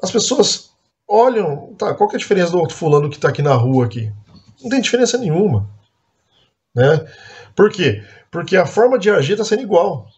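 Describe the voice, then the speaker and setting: A man's voice: a little high, darkish tone. Earnest Brazilian man teaching Sunday school. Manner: conversational, frequent tag questions and fillers; earnest, admonishing. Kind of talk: church Bible class teaching.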